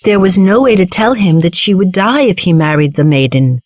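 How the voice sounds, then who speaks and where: A woman narrating a children's fairy tale in a measured, clearly phrased storytelling manner. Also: lowish voice, expressive, a little mellow, dry recording. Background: background music.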